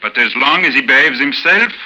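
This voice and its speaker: Earnest radio newspaperman, piercingly bright voice. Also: medium pitch, lively intonation, a little fast, highs cut off. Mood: reluctant, earnest. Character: earnest, guarded French newspaperman with firm principles. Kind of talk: 1950s radio drama acting. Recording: noisy old 1950s radio recording.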